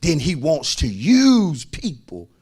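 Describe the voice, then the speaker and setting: A man's voice: a little high, huge pitch swings, takes it slowly, mellow, slightly rough. A man preaching as a pastor, emphatic, repeating phrases and calling on his listeners to respond. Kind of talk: sermon.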